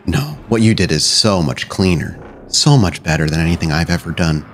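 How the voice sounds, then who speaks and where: Man playing a deep-voiced, goading undead vampire sire. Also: a little fast, slight background noise. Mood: goading, grand. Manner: needling, grandiose, rhetorical questioning. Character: dead vampire sire as mocking, goading mirror reflection. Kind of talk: tabletop roleplay acting.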